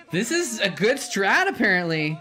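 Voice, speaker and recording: A man hosting a live stream, chatting casually and reacting excitedly to the game he is watching. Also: sits high. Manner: chatty, rambling, gets excited and laughs at the action.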